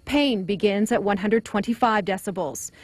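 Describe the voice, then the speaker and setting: A woman's voice: mid-range voice, normal speed, no echo. A woman giving a broadcast news report as a TV reporter. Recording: clear background street and vehicle noise.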